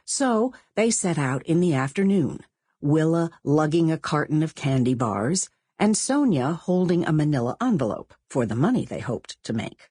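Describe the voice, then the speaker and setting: A woman reading a novel as an audiobook narrator, with measured, expressive storytelling. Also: sits low, slightly rough, no room sound, clean studio sound. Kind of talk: scripted literary narration.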